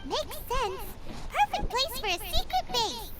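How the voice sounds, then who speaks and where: Super squeaky little fairy sidekick for a game, excitable n cheeky, music blaring behind. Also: female voice, a little slow, full character voice. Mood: perky, curious. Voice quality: squeaky, childlike. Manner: bubbly, refers to herself by name. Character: tiny, cheeky, excitable female fairy-like companion. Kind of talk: cartoonish game dialogue.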